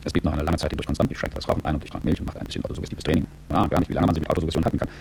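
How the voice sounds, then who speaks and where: A man's voice: rumbling low, steady tone, racing, vocal fry, noisy background. A man playing a cool, calculating, world-weary business executive who tells his story reflectively and articulately in a radio drama. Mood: reflective, sober. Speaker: narrator and lead character. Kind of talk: radio drama first-person narration.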